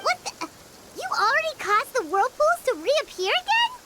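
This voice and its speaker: A woman voicing a tiny, excitable fairy companion in a video game, who talks about herself in the third person, with game music underneath. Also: extremely high pitch, moderate pace, background noise, full character voice. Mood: startled.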